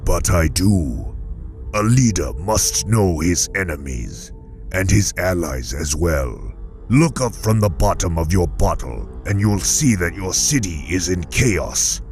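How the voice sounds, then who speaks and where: An imperious villain mastermind with a deep voice. His formal, menacing delivery is slow and swings widely in pitch, the grand manner of audio drama, over music. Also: big pitch swings, darkish tone, heavily acted. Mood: commanding, persuasive. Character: commanding, menacing mastermind urging a broken man onward.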